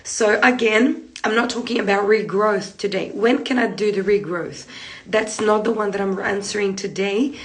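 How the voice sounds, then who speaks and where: A woman in her thirties with a hoarse voice from a cold, chatting through a live hair tutorial from a small room on a close phone camera. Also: mid-range voice, steady tone, normal speed. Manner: chatty, repeats points, gestures while talking. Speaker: hair treatment educator. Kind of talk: live-streamed tutorial talk. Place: small room indoors, seated at a desk. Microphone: phone camera close in front.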